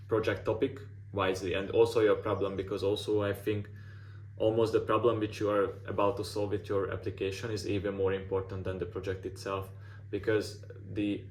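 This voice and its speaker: Man, non-native English speaker, mentor giving feedback, low voice, flat, many "like" fillers, faint background noise. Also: brisk. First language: not English. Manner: frequent "like" fillers and restarts. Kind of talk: casual feedback session.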